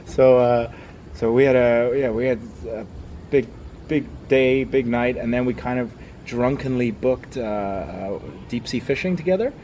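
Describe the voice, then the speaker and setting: Relaxed storytelling traveler man, noisy street. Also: mid-range voice, measured pace. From Hong Kong. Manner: relaxed storyteller, animated when telling anecdotes. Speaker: interviewee, traveler. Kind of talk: casual interview chat.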